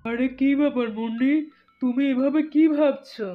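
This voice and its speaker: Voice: high voice, fairly even intonation, normal speed, natural voice. An actor voicing a loving, anxious father in a fairy-tale cartoon, tender and instructing. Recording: muffled phone-like cartoon track with music.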